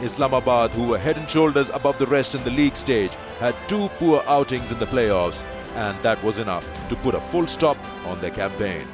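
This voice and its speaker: A man's voice: mid-range voice, moderate pace, over music. A man reading scripted sports documentary narration, switching between English and Urdu.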